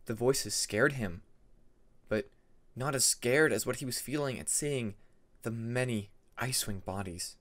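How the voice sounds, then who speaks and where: A man's voice: mid-range voice, measured pace, fairly bright tone. A man narrating a fantasy story as an amateur audiobook reader, in a dramatic scripted style.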